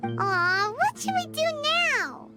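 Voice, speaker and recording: A woman voicing a tiny, excitable, childlike fairy companion in a video game, with a squeaky, pert delivery. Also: takes it slowly, exaggerated voice. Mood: curious. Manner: speaks of self in third person, pert. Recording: music behind the voice.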